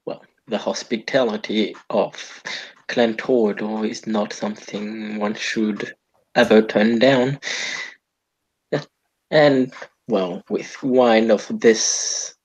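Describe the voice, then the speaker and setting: A man's voice: fairly low pitch, a little mellow, slightly rough, rough recording. A man voicing a formal, courteous male vampire who once fought as a soldier. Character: old-world male vampire, former French soldier, courtly.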